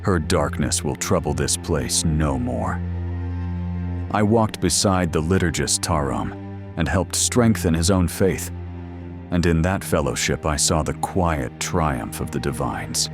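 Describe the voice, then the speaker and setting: A man voicing a solemn, pious knight in a grave, formal, measured monologue for a fantasy game roleplay. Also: rumbling low, expressive, over music, voice pushed down, exaggerated voice. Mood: solemn devout. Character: solemn pious male knight, humble crusader. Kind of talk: scripted in-character monologue.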